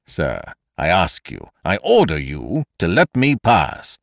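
A man voicing a wealthy, nervous gentleman in a storybook reading, curt and commanding, with an agitated edge. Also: a bass voice, big pitch swings, a little slow, creaky, dry recording, studio quality, pitched below the performer's own voice, full character voice. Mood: alarmed, demanding.

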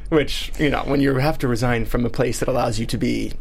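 A man's voice: mid-range voice, fairly even intonation, brisk, creaky, a little hiss or hum. Agreeable male co-host. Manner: agreeable, frequent affirmations. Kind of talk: casual chat.

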